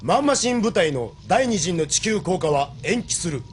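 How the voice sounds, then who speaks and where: A man's voice: high voice, sing-song, moderate pace, a little hiss or hum. A man playing a dutiful military communications soldier in a Japanese audio drama, with formal, clipped delivery. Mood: formal, obedient.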